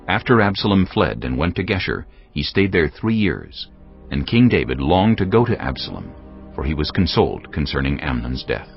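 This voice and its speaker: A man narrating scripture as a solemn, measured storyteller in a dramatized audio production. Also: extremely low pitch, big pitch swings, vocal fry, lo-fi sound, soft background music.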